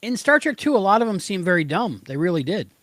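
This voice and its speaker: A man with a somewhat high-pitched voice co-hosts a podcast. His delivery is casual and conversational. The sound is very dry, with no room echo. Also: sing-song, fast talker, a bit bright. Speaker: podcast co-host. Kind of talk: casual chat, episode discussion.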